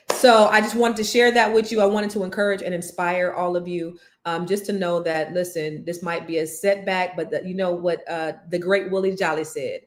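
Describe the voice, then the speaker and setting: A middle-aged woman speaking as a life coach in a fairly low voice. Her talk is motivational, delivered with an emphatic, preacher-like rhythm that drives each point home.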